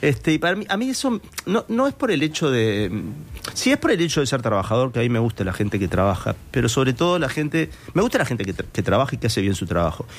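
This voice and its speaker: A male interviewer with a Uruguayan accent talks in an informal, conversational way. He is wordy, thinking out loud and reworking his phrases as he goes, with a middle-range voice. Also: medium pitch, very animated, fast talker. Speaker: interview host.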